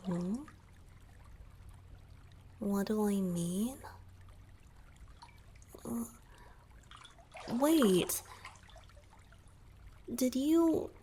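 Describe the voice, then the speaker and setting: A shy, gentle young merman played by a woman speaks in a lightly high voice at a very slow, halting pace. The delivery is soft ASMR roleplay, hesitant and evasive, often sinking into breathy whispers. Water trickles faintly behind the voice. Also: a little hiss or hum. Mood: hesitant, coy.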